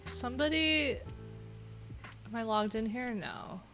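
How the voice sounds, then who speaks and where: A woman's voice: a little high, takes it slowly, over music. A woman livestream host chatting casually and reading things aloud, with wry, informal, rambling asides. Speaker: livestream host, web developer.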